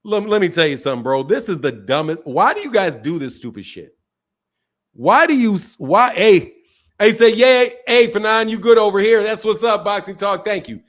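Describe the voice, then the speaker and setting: Animated high-voiced man talking boxing. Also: normal speed. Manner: animated, swears freely, repeats himself. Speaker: boxing talk show host reading chat. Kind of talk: casual live-stream chat.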